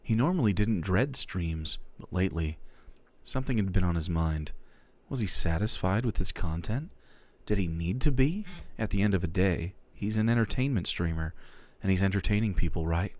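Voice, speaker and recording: A man streaming, reading fan fiction aloud in a theatrical, comic way, shifting between hushed and loud. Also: sits low, animated, normal speed.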